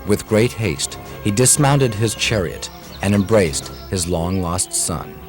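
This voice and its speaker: A man's voice: lowish voice, slow. A man narrating a scripted devotional story in a solemn, dramatic way. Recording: music behind the voice.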